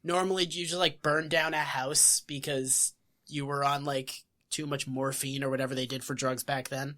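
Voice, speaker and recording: A woman co-hosting a casual podcast, wry and sweary, quick with jokes. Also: extremely low pitch, flat delivery, a bit bright. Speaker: podcast co-host.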